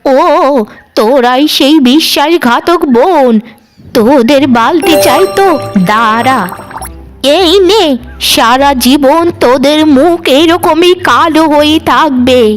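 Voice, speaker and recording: A woman's voice: high-pitched, slow, soft background music. A woman voicing an old forest witch woman in a cartoon fairy tale, speaking in solemn blessings and pronouncements. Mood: stern, angry. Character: old forest witch woman, stern but kindly to the good.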